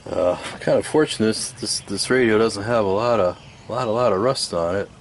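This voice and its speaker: A man giving a casual hands-on restoration demonstration, musing aloud in an unhurried, hesitant way as he works. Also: lowish voice, a little hiss or hum. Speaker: radio restoration hobbyist.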